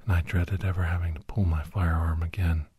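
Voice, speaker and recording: A man playing a weary, guilt-ridden older doctor and veteran hunter, whispering a slow, halting confession in a breathy, husky voice as an ASMR audio story. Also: deep voice, level tone, dark tone, dry recording. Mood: remorseful, heavy.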